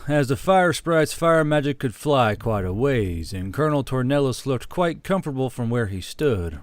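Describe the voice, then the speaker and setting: A man's voice: mid-range voice, a little mellow, no echo. A man reading a scripted fantasy war story as an audiobook narrator, close on the microphone.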